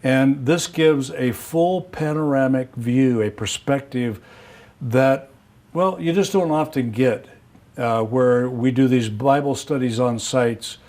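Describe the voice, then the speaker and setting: A man's voice: medium pitch, slow pace, darkish tone. A man hosting a conversation. He often affirms his guest with short interjections. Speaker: host. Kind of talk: casual conversation.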